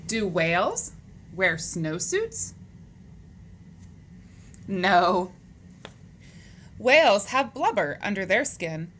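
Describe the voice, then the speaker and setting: Female children's read-aloud storyteller. Expressive, swooping intonation, leisurely delivery, question-and-answer style for little listeners, slightly noisy recording. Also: mid-range voice, drawn-out words, pauses often. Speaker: storyteller reading a children's picture book aloud.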